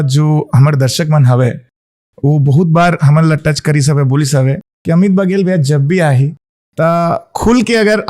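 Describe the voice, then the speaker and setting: A man, hosting a podcast interview and asking his guest questions in an effusive, admiring way. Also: a little high. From Rajasthan, India. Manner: effusive, flattering introductions. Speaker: podcast host.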